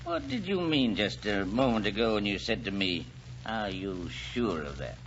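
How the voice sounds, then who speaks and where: Grumpy old rich cynic, lowish voice, blustering, muffled 40s radio recording. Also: male voice, big pitch swings, moderate pace, fairly bright tone, slight background noise. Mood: demanding, skeptical. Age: older. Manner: blustering, scornful. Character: cantankerous, cynical elderly club gentleman, Gordon. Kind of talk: 1940s radio acting.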